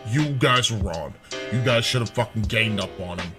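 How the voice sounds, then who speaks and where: A man's voice: on the low side, moderate pace, natural voice. A man playing a hot-headed, defensive, foul-mouthed young guy in an amateur audio roleplay. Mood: angry, sullen. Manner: aggressive, profane, sarcastic. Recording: loud music behind the voice. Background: music.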